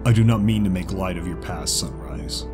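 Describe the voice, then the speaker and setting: Guy as caring zebra dude in an audiobook, real deep voice, calm n formal, music behind. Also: close to an everyday voice. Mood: gentle concern. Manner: formal, measured, reassuring. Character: caring male zebra companion, calm and earnest. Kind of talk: audiobook character dialogue.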